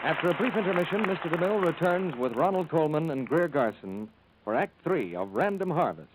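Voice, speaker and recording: A man announcing on 1940s radio in a polished, scripted sales style, as host and soap pitchman. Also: lively intonation, a little fast, heavy background noise.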